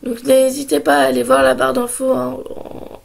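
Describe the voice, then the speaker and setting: A woman in her early twenties, hoarse from a sore throat and a cold, talking in a hesitant casual vlog with many pauses and restarts. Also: sits high, sing-song, slight background noise. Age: 20s. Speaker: vlogger.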